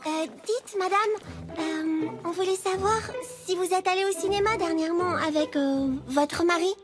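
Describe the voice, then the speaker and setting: A woman voicing a playful, romantic, scheming schoolgirl in a French cartoon, excitable and theatrical. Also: extremely high pitch, unhurried, music in the background. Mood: sly, hesitant. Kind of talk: French animated series voice acting.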